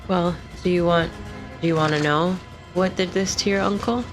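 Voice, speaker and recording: Woman w lowish voice chatting in a tabletop game, music playing clearly behind her. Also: fairly even intonation, moderate pace, low-quality recording. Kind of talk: casual in-game chat. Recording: prominent music under voice.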